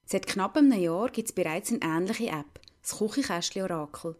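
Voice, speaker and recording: A woman's voice: medium pitch, animated, normal speed, darkish tone. A woman with a Lucerne Swiss German accent, narrating a scripted radio report as a reporter.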